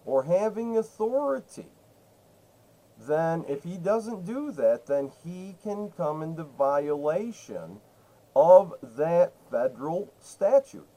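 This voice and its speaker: A man's voice: on the high side, very animated, slow pace, warm tone, rough recording. A man making an insistent phone complaint, laying out accusations in an emphatic, repetitive way and cutting in. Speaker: caller to a state senator's office.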